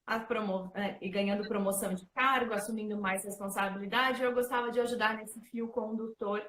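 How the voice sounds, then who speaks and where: A woman's voice: medium pitch, fairly even intonation, a little fast, a bit bright, reverberant. A woman in her thirties with a São Paulo accent, hosting a casual talk and presenting herself with many fillers. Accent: São Paulo Portuguese. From São Paulo, Brazil. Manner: chatty, many fillers like "né" and "então". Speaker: host and facilitator.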